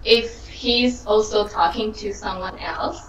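Young woman, video-call guest, soft, hesitant, giggly, slow-talking, echoey lo-fi line. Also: medium pitch, fairly even intonation, distant mic. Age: young adult. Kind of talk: casual video-call chat. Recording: dull, echoey video-call audio with faint hiss.

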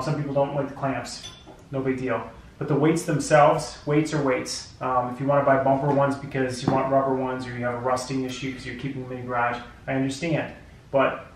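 A man in his thirties casually reviewing gym gear at home, his voice sitting at an ordinary middle pitch. He rambles and restarts his sentences, full of fillers. The sound bounces hard around a bare, very echoey room, picked up by a camera mic a few feet off. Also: a little hiss or hum. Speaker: product reviewer.

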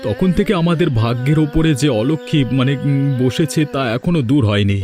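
An actor playing a troubled, fatalistic poor village eldest brother in a cartoon, earnest and grave. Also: male voice, on the high side, animated, brisk, music in the background, natural voice. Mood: gloomy, resigned. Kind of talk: cartoon moral story voice acting.

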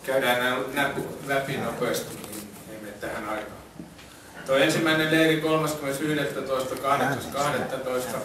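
A man's voice: medium pitch, fairly bright tone, a little hiss or hum. A man giving an informal briefing as a camp organiser. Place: indoor meeting room, camera in the audience. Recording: very echoey room, distant mic.

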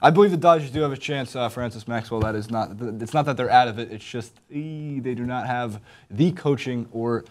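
A young American man hosts a sports panel show at a quick clip. His voice sits at an average pitch for a man, picked up on a lapel mic at a studio desk. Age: young adult.

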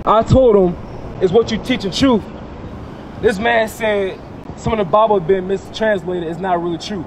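A man's voice: sits high, normal speed, background noise. Emphatic young man preaching outdoors. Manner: emphatic, questioning, repeats words. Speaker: street preacher. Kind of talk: outdoor street preaching with questions. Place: outdoors by a parking lot. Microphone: handheld mic.

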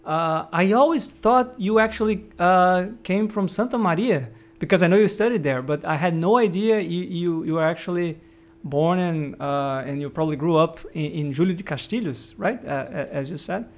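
High-voiced male podcast host. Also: moderate pace. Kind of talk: interview host, reading the intro. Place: home office.

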